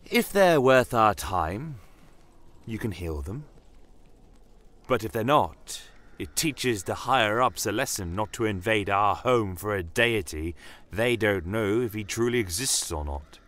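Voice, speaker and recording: A man playing a stern, proud commander of a fantasy empire, telling his own tale in a measured, formal, brooding manner. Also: on the low side, expressive, a bit bright, voice pushed up. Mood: commanding, stern. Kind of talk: first-person fantasy audio storytelling.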